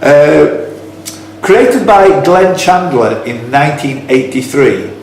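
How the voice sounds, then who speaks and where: Quizmaster, very echoey room. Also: male voice, fairly high pitch, takes it slowly, darkish tone. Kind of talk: reading quiz questions with informal banter. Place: echoey indoor club room. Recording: very echoey room, faint background noise.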